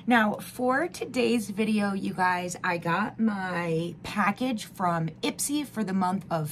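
Chatty, slightly hoarse woman vlogger. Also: on the low side, a little hiss or hum. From Michigan, USA. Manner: chatty, frequent ums and uhs. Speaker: beauty vlogger. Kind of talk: casual unboxing chat. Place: home room with wooden wall backdrop.

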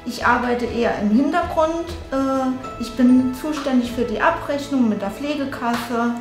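A woman's voice: high-pitched, a little slow. A woman introducing herself and her back-office job in an interview. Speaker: back-office billing worker. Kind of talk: interview self-introduction for a promotional film. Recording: echoey room with music behind.

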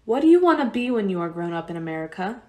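A woman's voice: mid-range voice, very animated, fast talker, darkish tone, natural voice. A woman playing a stern, brusque, no-nonsense mother in a radio play. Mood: brisk, practical. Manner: brusque, demanding. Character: stern, no-nonsense refugee mother, brisk and practical.

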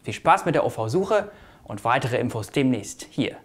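A young man explains things to viewers in a semi-casual presenting style. His voice is medium in pitch. He talks as a staff presenter giving a short walkthrough. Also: very animated, moderate pace, very noisy. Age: young adult. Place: indoor video studio. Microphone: lapel mic.

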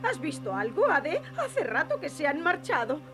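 A woman's voice: high voice, sing-song, moderate pace, music in the background. A woman voicing an anxious, fretting little creature in a Spanish cartoon dub.

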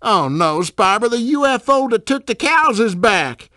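A man's voice: extremely high pitch, very animated, unhurried, pushed and bright, no room sound, clean studio sound, full character voice. A man voicing a simple, good-natured video game farmer with a folksy rural American accent. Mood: earnest, folksy. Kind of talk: video game character dialogue.

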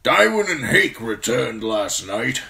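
A grim old commander. He grumbles bluntly and irritably, projecting loudly at a pitch well above a murmur, gruff and weary of it all. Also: sing-song, slow, heavily acted. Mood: grave.

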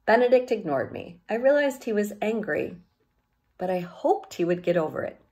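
A middle-aged woman reading a children's novel aloud as an expressive storyteller, recorded at home on a handheld-distance device. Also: mid-range voice, moderate pace. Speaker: teacher reading a book aloud. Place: small home room with bookshelves.

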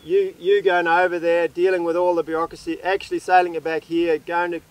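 A young man hosting a casual sailing interview outdoors by the sea, relaxed and chatty, asking questions. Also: high-pitched, fairly quick, clean tone, low-quality recording. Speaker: sailing vlog host.

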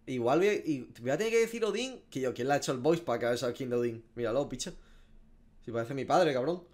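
A man's voice: mid-range voice, expressive, fast talker, darkish tone. A man in his twenties with a Spanish accent from Spain, giving slangy, sweary reaction commentary as a gaming streamer. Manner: informal, slangy and sweary, thinking aloud. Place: small home room.

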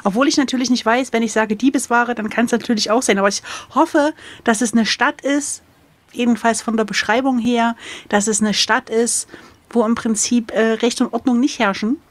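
Higher-pitched woman, close dry sound. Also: steady tone, moderate pace, dry recording. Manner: thinking aloud, playful. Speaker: player of an adventure gamebook. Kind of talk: casual chat during a game.

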